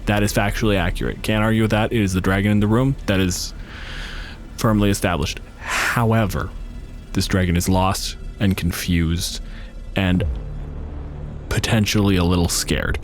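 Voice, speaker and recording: A man's voice: low voice, steady tone, moderate pace, faint music behind. A man improvising narration as game master, casual and wry, swearing freely.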